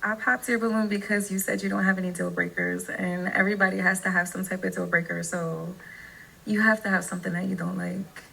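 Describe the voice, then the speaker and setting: A woman in her thirties with a gravelly, bright voice. She speaks in a flat, plain, direct way as a dating show contestant, with faint ambient noise behind her. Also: mid-range voice, brisk, low-quality recording. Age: 30s.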